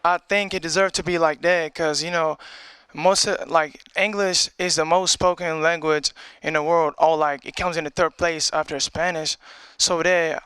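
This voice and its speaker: A man's voice: fairly high pitch, steady tone, moderate pace, pushed and bright, smooth, dry recording. A young man from Algeria chatting casually, full of slang and filler phrases. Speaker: audience member. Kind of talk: casual chat. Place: TV studio.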